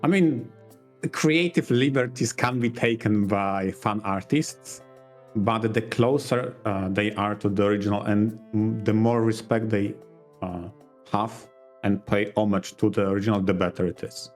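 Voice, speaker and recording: A man co-hosting a podcast, explaining his points casually in a measured, analytical manner. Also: lowish voice, faint music behind. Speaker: podcast co-host.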